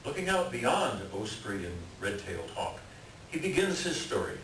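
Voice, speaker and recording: A man's voice: mid-range voice, sing-song, moderate pace, a bit bright. Man as solemn narrator, echoey room. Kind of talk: scripted narration, readers theater. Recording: echoey library room, distant, faint hiss.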